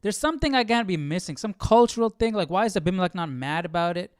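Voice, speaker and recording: A man's voice: high-pitched, expressive, fast talker, clean tone, no room sound, studio quality. A man hosting a live Bible study stream, thinking aloud in casual, reflective commentary and checking in with listeners. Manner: thinking aloud, often asking "right?".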